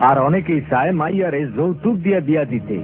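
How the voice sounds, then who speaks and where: A man's voice: a little high, fast talker, close to an everyday voice. A man voicing an upright, sensible villager in a cartoon, with a Bangladeshi accent. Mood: serious. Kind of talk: animated cartoon dialogue. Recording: muffled lo-fi cartoon audio, music behind.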